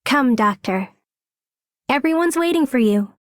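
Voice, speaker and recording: A woman's voice: high voice, expressive, measured pace, a little hiss or hum. A woman voicing a composed, dignified young game heroine. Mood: reflective, encouraging. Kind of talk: game character voice acting.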